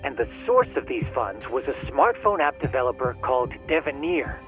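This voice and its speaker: Animated, geeky tech sleuth, a man acting. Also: mid-range voice, normal speed, muffled recording, over music, natural voice. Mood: intrigued. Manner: formal, wordy, slightly theatrical. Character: polite, geeky male tech sleuth, eager and precise. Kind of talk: video game cutscene dialogue.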